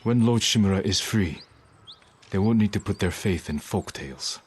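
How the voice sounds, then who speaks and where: An actor playing a stoic, guarded samurai warrior in a video game, speaking in a terse, measured way. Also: male voice, sits low. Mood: guarded, resolute. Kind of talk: cinematic video game dialogue. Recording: game dialogue under clear ambient noise.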